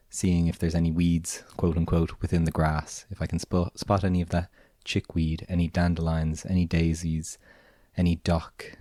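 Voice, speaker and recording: A raspy Irish man, hoarse from a cold, talks through his podcast in a deep, mellow voice. He keeps a flat, even tone and meanders through his points with plenty of filler words, recorded close and dry. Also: no echo. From Ireland. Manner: relaxed, meandering, many "kind of" fillers. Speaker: podcast host and gardener. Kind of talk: casual spoken monologue.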